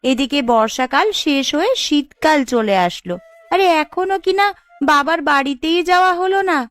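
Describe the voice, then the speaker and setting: A woman's voice: high-pitched, normal speed, a bit bright. A woman voicing a wistful, sometimes sulky young wife in a cartoon, with a plaintive, coaxing manner. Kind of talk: cartoon voice acting.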